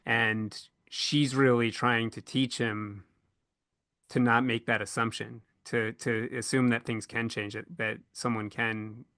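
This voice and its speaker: A man with a very bright, forward voice at a middle pitch moderates a casual podcast panel. He thinks out loud, doubling back on words and restarting phrases. The sound is dry and close, with little room around it. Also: level tone, dry recording. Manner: halting, repeats words as he thinks aloud.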